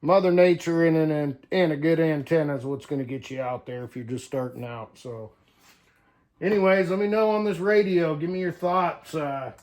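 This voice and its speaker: A man's voice: a little high, normal speed, darkish tone. A man talking casually to viewers in a folksy, unscripted way, thinking aloud as he tinkers through a hands-on demonstration. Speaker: hobbyist CB radio vlogger.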